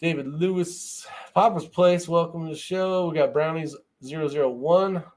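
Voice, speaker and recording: A man's voice: fairly high pitch, fairly even intonation, normal speed, darkish tone. A man hosting a casual live-stream chat, talking in an informal, loose and rambling way. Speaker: host of a shooting live chat show.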